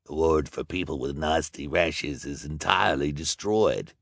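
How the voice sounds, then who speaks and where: A snarling villain boss from a storybook, acted out by a male narrator. He is impatient and menacing, barking contemptuous orders in a low-to-middling, very dry close voice. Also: a little slow, creaky, a bit bright, no room sound, exaggerated voice. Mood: contemptuous, commanding. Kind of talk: audiobook character acting.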